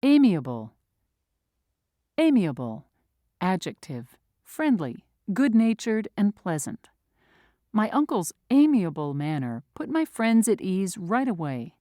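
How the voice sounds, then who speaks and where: A woman's voice: mid-range voice, very animated, slow, warm tone, dry recording, studio quality. A woman reading vocabulary words and their definitions aloud with careful dictionary-style enunciation. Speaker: vocabulary reader. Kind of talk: slow, clear read-aloud of word definitions.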